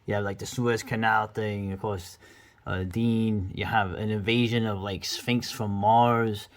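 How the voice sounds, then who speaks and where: A man's voice: fairly low pitch, flat delivery, a little slow. A middle-aged man giving casual, rambling, enthusiastic commentary as the host of a video review.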